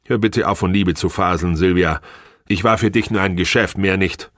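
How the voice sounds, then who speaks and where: Man playing a cornered, deep-voiced husband. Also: moderate pace, dry recording, studio quality, lightly acted. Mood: cold, bitter. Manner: controlled, defensive, reasoning. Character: weary, cornered husband, stubbornly unimpressed, placating. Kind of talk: audiobook character dialogue.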